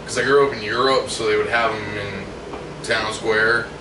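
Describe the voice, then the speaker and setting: A man's voice: on the low side, fairly even intonation, normal speed. A man who grew up in Germany, chatting casually, laid-back and profane. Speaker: band member. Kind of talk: casual chat. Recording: roomy, distant mic with noise.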